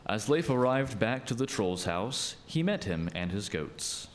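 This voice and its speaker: A male narrator tells a scripted story in a fairly low voice. His pitch moves around a lot, giving the radio play narration a dramatic, expressive feel. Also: a little slow, slight background noise.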